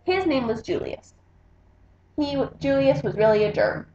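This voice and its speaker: A woman's voice: high-pitched, animated, a little slow, dark tone, roomy sound, dull audio, slight background noise. A woman voicing a bossy, jealous little girl mouse from a storybook, dramatic and imperious. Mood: scornful.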